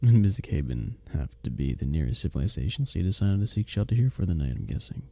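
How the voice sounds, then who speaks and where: A man playing a kind, courteous innkeeper in a medieval fantasy village, speaking softly and formally in an intimate ASMR roleplay drama. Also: low-pitched, big pitch swings, fast, vocal fry, no echo, heavily acted. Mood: curious. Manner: gentle, formal storybook phrasing, hesitant and tender.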